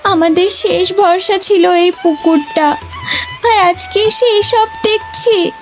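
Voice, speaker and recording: A woman plays an earnest young village daughter in a cartoon, with an extremely high, plaintive voice. She speaks slowly and deliberately, with music playing clearly behind her. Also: full character voice. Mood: distressed. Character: earnest hardworking twenty-year-old village daughter. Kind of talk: animated cartoon story dialogue.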